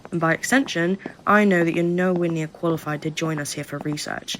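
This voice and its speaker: A woman's voice: on the low side, fairly even intonation, brisk, fairly bright tone, slight background noise, lightly acted, rough recording. A woman playing a curt, condescending government researcher who briefs a recruit with blunt, sardonic impatience in an audio roleplay. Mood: scornful. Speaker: researcher guiding a new recruit. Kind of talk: audio roleplay acting, addressed to listener.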